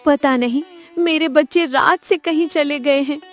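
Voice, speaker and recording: A woman's voice: high voice, fairly even intonation, measured pace, faint music behind. A woman playing an anxious, tender mother goat in a cartoon fable, with an earnest, pleading manner. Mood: worried, pleading. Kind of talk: Hindi cartoon story acting.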